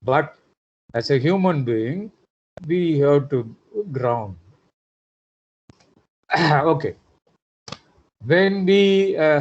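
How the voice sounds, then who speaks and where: A man lectures at a very unhurried pace, filling pauses with "uh" and checking in with "isn't it?". His voice sits a little high for a man. The audio is muffled and lo-fi, like a recorded online class. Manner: hesitant with frequent "uh", rhetorical tag questions.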